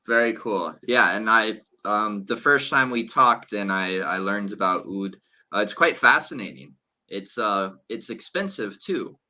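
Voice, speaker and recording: A man's voice: lowish voice, expressive, measured pace, pushed and bright. A man hosting an interview over a video call, upbeat and enthusiastic. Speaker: interview host.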